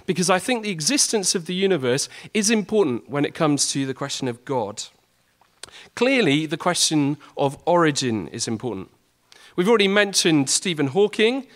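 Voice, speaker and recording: A man's voice: on the high side, a bit bright. A young man, a student, giving a prepared talk to fellow students in an earnest style and building his points with rhetorical questions. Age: young adult. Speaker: student speaker.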